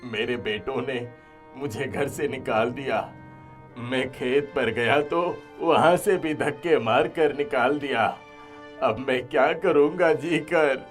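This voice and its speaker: A man's voice: medium pitch, slow, lightly acted. A man playing a kindly but firm, aggrieved old village father in a moral-story cartoon. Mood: despairing. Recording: music behind the voice.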